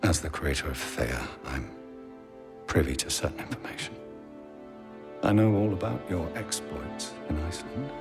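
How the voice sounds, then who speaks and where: A low, creaky male voice, urbane and formal, probing with quiet menace. It is a calm, measured game cutscene performance over clear background music. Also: exaggerated voice. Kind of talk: video game cutscene acting.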